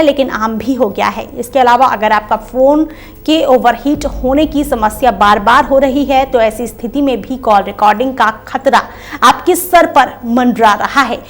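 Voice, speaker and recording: A woman reading a scripted news explainer as narrator, in a formal, instructive presenter's delivery. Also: high voice.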